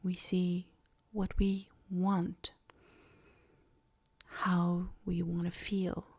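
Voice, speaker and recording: A woman guiding a soft-spoken ASMR meditation in a breathy, near-whispered voice, with gentle, deliberate phrasing. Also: fairly low pitch, little pitch movement. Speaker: ASMR creator and meditation guide.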